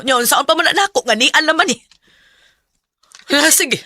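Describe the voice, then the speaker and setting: An actress with a Visayan Filipino accent playing a husky, exasperated mother in a radio drama. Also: high voice, expressive, moderate pace, pushed and bright, no echo, clean studio sound. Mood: scolding. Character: scolding, exasperated mother of a grown daughter. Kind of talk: Cebuano radio drama acting.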